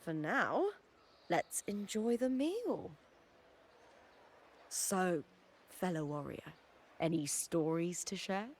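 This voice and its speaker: An actress playing an upbeat, fiery young female arena fighter in a video game, chatty and animated. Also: on the low side, slow pace, pauses often, full character voice. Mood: playful, sheepish. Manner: animated, breezy, casually chatty. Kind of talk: video game character acting.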